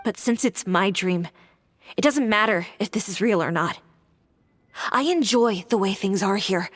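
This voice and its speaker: Wistful grieving young woman for a game: breathy, mid-pitched, long reverb. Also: expressive, a little slow. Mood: wistful, resolved. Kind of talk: video game voice acting. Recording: long dreamlike hall reverb.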